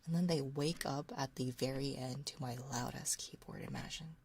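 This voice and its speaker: Soft, low-pitched woman whispering ASMR. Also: moderate pace, creaky. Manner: soft-spoken, hushed, slipping into whispers. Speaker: livestream host. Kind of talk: ASMR casual livestream chat, often whispered. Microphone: close binaural microphone. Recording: close binaural capture with faint noise. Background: faint noise, soft clicks of a ticking clock.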